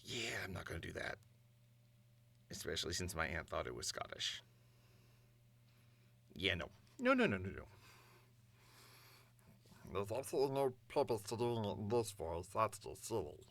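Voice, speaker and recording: Low-voiced man muttering, thinking aloud. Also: huge pitch swings, normal speed, gravelly, long pauses, a bit bright. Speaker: amateur voice actor. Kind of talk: casual self-directed talk, thinking aloud.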